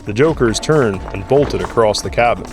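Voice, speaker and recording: A man's voice: fairly low pitch, animated, moderate pace, vocal fry, music in the background. A man reading scripted third-person narration for an audio drama.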